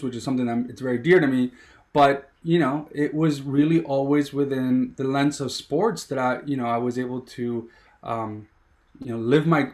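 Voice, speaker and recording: A man in his thirties from Miami, a sports producer telling his story as a guest, eager and rambling with many fillers. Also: medium pitch. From Miami, USA. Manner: eager, rambling, heavy on "you know" fillers. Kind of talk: casual interview storytelling.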